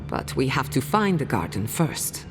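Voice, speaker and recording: Game role: wry, analytical female scholar, low register, hall reverb, light music bed. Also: big pitch swings, unhurried, exaggerated voice. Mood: thoughtful, resolute. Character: woman scholar of spirit science, inquisitive, wry. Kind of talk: video game voice acting.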